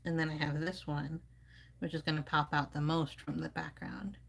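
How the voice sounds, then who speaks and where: A woman's voice: sits low, level tone, normal speed, gravelly. A woman giving casual, rambling live commentary as she paints, thinking aloud like an informal art teacher.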